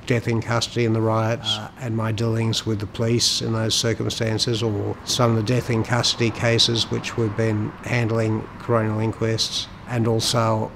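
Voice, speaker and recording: A man giving reflective commentary on his poetry in formal, deliberate, halting phrases. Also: fairly low pitch, completely flat delivery, gravelly, background noise. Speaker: poet and lawyer discussing his own work.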